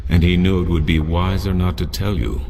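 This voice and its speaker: A wise, ancient old mentor from an adventure game, slow and formal. His voice is extremely deep and gravelly, with a long reverb behind it. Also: steady tone, darkish tone. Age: older. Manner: solemn, measured, formal.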